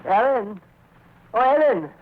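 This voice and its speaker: An actor playing an elderly, stubborn and excitable professor who speaks urgently and haltingly in a 1940s radio drama. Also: male voice, very high voice, heavily acted. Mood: excited. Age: older. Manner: urgent, halting with eager repetition. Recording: crackly tinny old radio recording, hiss.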